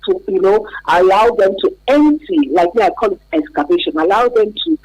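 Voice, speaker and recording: A woman's voice: medium pitch, expressive, normal speed, a little mellow, breathy edge, dry recording, rough recording. Emphatic Nigerian woman explaining as a therapist. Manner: emphatic, repeats key words, checks listener follows. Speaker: mental health therapist, interview guest. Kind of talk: explanatory radio interview.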